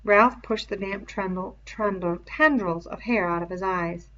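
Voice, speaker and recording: A woman narrating a novel aloud in an expressive, literary storytelling style. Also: medium pitch, measured pace, dull audio.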